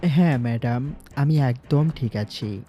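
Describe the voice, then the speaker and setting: A very dark, mellow young male voice played by a man for a student with a secret gangster life. It is audio-story drama, laid over a music bed. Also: medium pitch, a little slow, highs cut off, lightly acted. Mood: meek, polite. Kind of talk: Bengali audio story acting.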